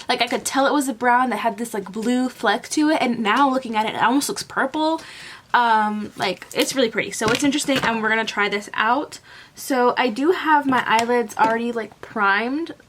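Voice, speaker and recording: A woman's voice: fairly high pitch, a bit bright. A young woman in her twenties from Colombia with a light Southern US accent, chatting through a makeup tutorial with lots of "like" fillers. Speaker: beauty vlogger. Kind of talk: casual makeup tutorial chat. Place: bedroom at home.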